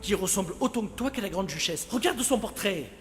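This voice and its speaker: A man voicing a wily, persuasive older male swindler in an animated film fandub, assured and coaxing. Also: sits high, fairly quick. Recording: long hall reverb with faint music.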